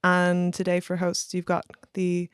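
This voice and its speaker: A woman's voice: fairly low pitch, completely flat delivery, unhurried, clear, resonant tone, no room sound, studio quality. A woman hosting a podcast in a conversational introductory style. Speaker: podcast host.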